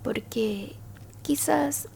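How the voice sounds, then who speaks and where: A woman voicing a gentle, bookish, elderly alien grandmother in a whispered ASMR roleplay, soothing and tender, with a breathy, creaky voice. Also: a little high, slight background noise, exaggerated voice. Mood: soothing, solemn. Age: older. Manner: slow, soothing whispering with soft murmured hums and pauses. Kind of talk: Spanish-language ASMR roleplay, mostly whispered.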